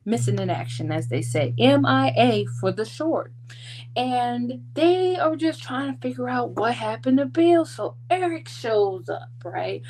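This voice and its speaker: A woman's voice: on the high side, animated, a little slow, darkish tone, a little hiss or hum. A woman giving a casual, chatty book recap in a playful, sassy, slangy way, like a vlog host. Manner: sassy and playful, slangy, acting out characters' lines. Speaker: book vlogger hosting a readalong discussion.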